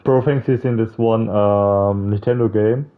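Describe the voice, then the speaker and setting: A man with a lowish voice reads game text aloud at a slow pace, in an informal, slangy way. He sounds like a casual playthrough host, recorded on a dull, lo-fi mic with faint music behind him. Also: fairly even intonation. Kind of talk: reading visual novel text aloud with casual commentary.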